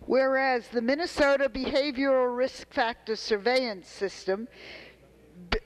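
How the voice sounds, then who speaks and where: Higher-voiced elderly woman lawmaker. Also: steady tone, a little slow, low-quality recording. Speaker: state legislator. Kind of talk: formal reading of a resolution. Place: large indoor legislative chamber. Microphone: handheld floor microphone held close.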